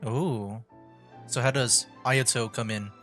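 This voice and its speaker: Casting: male streamer, mid-pitched, relaxed off-the-cuff gameplay reactions, faint music underneath. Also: takes it slowly. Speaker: game streamer. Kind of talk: casual livestream gameplay commentary. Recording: game music behind the voice.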